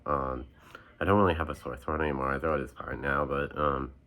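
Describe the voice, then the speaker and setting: A man's voice: very deep voice, fairly even intonation, brisk, fairly bright tone. A young man chatting casually on his vlog about his book collection, rambling with lots of ums and restarts while getting over a cold with a stuffy nose. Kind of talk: casual chat.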